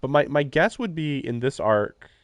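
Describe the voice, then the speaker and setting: A man's voice: mid-range voice, very animated, moderate pace, dry recording. A man live-streaming a visual novel, reading the text aloud and adding casual, wry, offhand comments. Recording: faint game music behind the voice. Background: game soundtrack.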